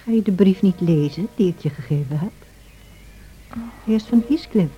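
An actress playing an anxious, protective, loyal servant woman in a Dutch radio drama, speaking in an earnest, measured way. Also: lowish voice, animated, slight background noise, natural voice. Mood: gentle, urgent. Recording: dull old radio play recording.

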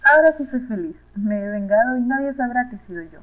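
A woman's voice: fairly high pitch, lively intonation, quick pace, close to an everyday voice. A woman playing a resentful but affectionate young witch prefect in an amateur radio play, theatrical in delivery. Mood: gloating. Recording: phone-like, thin amateur recording.

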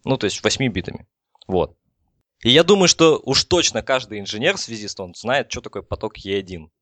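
Male expert explainer, lowish pitch, informal and halting, dry recording. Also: expressive, fairly bright tone, dry recording. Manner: halting, with frequent false starts. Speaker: telecom engineer explaining as guest expert.

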